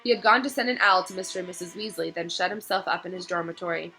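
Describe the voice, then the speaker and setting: A woman narrating a storybook aloud in an expressive read-aloud style. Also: on the low side, fast, faint music behind.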